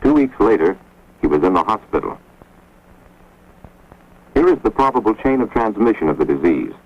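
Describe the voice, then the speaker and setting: A man giving measured, grave scripted narration for an instructional film, recorded on a dull, lo-fi 1950s film soundtrack. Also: on the low side, very animated, breathy edge. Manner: measured, grave, authoritative delivery.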